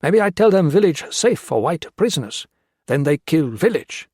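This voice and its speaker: A man's voice: a little high, sing-song, normal speed, darkish tone, slightly rough, no room sound, studio quality, voice pushed up. A man voicing a menacing, leering male guerrilla fighter who speaks in clipped, broken English.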